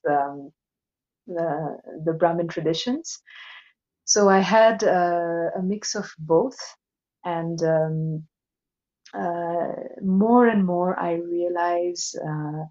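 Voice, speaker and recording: A woman with an Indian accent talking reflectively as an interview guest, explaining things in a measured way. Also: fairly low pitch, mellow, dull audio. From Assam, northeast India. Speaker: interview guest, yoga teacher. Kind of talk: thoughtful podcast conversation.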